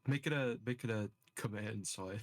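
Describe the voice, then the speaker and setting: A man's voice: fairly low pitch, moderate pace, no echo. A man chatting casually as a player in a tabletop role-playing game.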